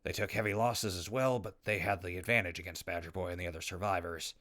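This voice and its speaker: A man's voice: deep voice, fairly even intonation, fast talker, fairly bright tone. A man playing a wry, easygoing ghoul who narrates a post-apocalyptic audio drama in a dry, casual way. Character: wry, easygoing, irreverent ghoul wanderer narrating his journey.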